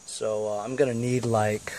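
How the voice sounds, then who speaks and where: A man's voice: medium pitch, little pitch movement, normal speed, a little mellow. Casual, blunt DIY man, noisy recording. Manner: colloquial, blunt, grumbling asides. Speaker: DIY how-to video maker. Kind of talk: casual hands-on tutorial chat. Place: in and around a cargo van.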